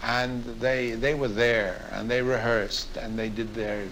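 Older male radio veteran, medium pitch, deliberate reminiscence, slight background noise. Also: steady tone, fairly bright tone, poor audio. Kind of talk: reminiscing interview.

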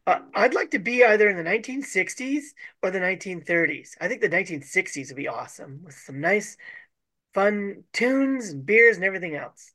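Playful mid-pitched casual storyteller. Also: lively intonation, a little fast, sharp tone. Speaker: discussion participant.

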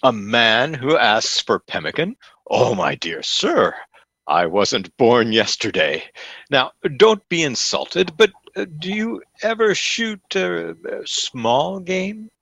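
An actor playing a fawning, pompous store salesman in an old-time radio comedy, with effusive, theatrical flattery. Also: mid-range voice, slow pace, gravelly, no echo, exaggerated voice. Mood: flattering.

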